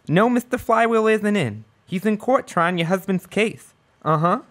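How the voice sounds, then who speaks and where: A flustered young secretary, acted by a woman, her voice swooping up and down as she gets excited. She sounds chirpy and hurried, like a character from an old radio comedy. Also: medium pitch, close to an everyday voice. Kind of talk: radio play acting.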